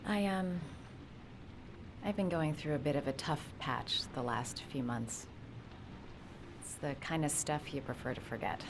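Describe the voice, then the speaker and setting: Over clearly noisy ambience, a guarded but caring young woman in a game drama. Her voice sits low, and she talks gently, with hesitant little stops. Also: measured pace, pauses often. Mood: hesitant, troubled. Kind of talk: cinematic video game acting.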